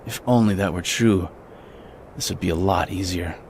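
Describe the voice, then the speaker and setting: A man plays a grim lone gunman facing doom in radio drama style. His male voice is fairly deep and solemn, full of determination. The recording carries noise behind it. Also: normal speed, creaky, darkish tone. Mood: solemn, explaining. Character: grim, resolute lone gunman, husband and father. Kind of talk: old-school radio drama acting.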